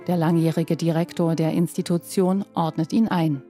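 Low, mellow woman reporter narrating. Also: fairly even intonation, moderate pace, faint music behind. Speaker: reporter narrating a news feature. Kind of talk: scripted narration.

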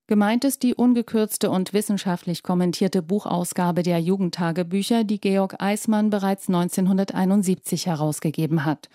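A woman's voice: mid-range voice, normal speed, few pauses, mellow, dry recording, studio quality. A woman reporter reading scripted narration for a news feature.

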